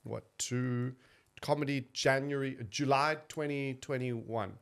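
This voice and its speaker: A South African man talks casually on a comedy podcast. His voice sits at a middle pitch. He swears freely and breaks into laughter often. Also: fairly even intonation, takes it slowly, gravelly, clean tone. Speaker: comedian guest.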